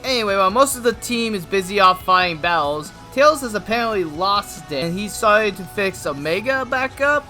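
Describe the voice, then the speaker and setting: A young man reviews games in an informal, excitable way. His voice is high and bright, moving through scripted narration with casual comic asides over faint music. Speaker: video game reviewer.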